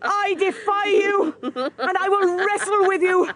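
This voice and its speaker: An actress playing a fierce, grieving old witch in a period audio drama, speaking with slow, tormented intensity. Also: very high-pitched, a bit bright, full character voice. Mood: horrified, defiant.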